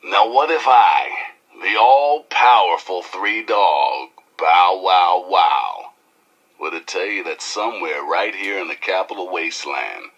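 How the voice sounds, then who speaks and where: An actor playing a cocky, flamboyant post-apocalyptic rebel radio DJ with an American accent and showy, slangy delivery in a video game. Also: male voice, low voice, lively intonation, fairly bright tone, slightly rough, highs cut off, heavily acted. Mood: exuberant, showy. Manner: showy, slangy, drawn-out emphasis with howls. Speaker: radio DJ and newscaster. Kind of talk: theatrical radio DJ patter.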